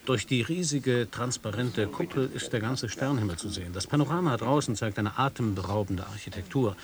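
A man's voice: fairly low pitch, natural voice. An actor playing a male American radio reporter who asks hesitant questions and reports on air. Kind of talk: radio play acting.

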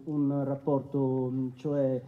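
An actor playing a crude, evasive male defendant with a Roman accent, halting and colloquial, in a courtroom radio drama. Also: medium pitch, level tone, lightly acted. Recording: dull lo-fi sound.

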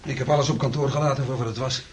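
A man's voice: medium pitch, fairly even intonation, very fast, noisy background, close to an everyday voice. An actor playing a flustered, uneasy businessman husband in a radio drama, hesitant in his delivery. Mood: shaken, probing.

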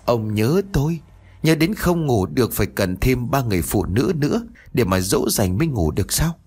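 A man's voice: mid-range voice, a little fast, a little mellow, breathy edge. A man voicing the vengeful ghost of a dead wife who mocks her husband coldly, in a spoken horror story. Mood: mocking. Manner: mocking, cold.

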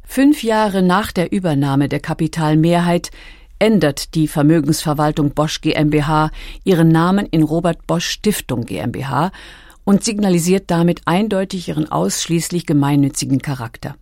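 A woman's voice: low-pitched, a little mellow, no room sound, studio quality. A woman reading scripted documentary narration. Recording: music behind the voice. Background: background music.

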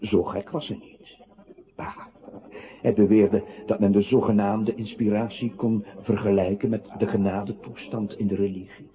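An actor playing a narrator in a literary radio play, reciting deliberately and precisely. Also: male voice, on the low side, flat delivery, natural voice. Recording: muffled, noisy old radio-play recording.

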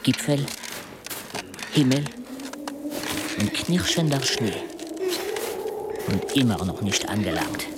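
Radio play narrator: reflective mountaineer telling his tale, mid-pitched, audible background ambience. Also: animated, slow, pauses often, heavy background noise. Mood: reflective, uneasy. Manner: literary, reflective storytelling. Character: adult male expedition member recounting a dizzying mountain climb.

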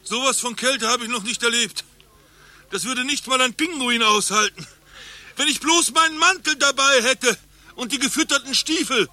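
An actor playing a self-important, deluded doctor in a satirical radio play, melodramatic and urgent. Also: male voice, very high voice, normal speed, sharp tone, full character voice. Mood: freezing, alarmed. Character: deluded, self-important male doctor freezing in an imaginary blizzard.

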